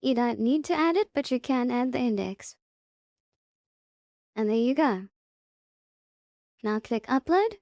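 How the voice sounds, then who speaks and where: A woman presents a software tutorial in a high, mellow voice. She talks slowly and gives short, simple instructions one step at a time. The sound is dry and close. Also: animated, no echo.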